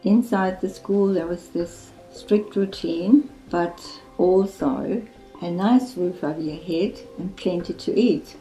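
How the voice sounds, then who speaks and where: A slow-speaking older woman shares her volunteer experience with frequent pauses and fillers. Her voice is mellow and fairly low. The recording sounds roomy, with faint music behind. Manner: hesitant, with fillers. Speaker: church volunteer. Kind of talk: interview testimony.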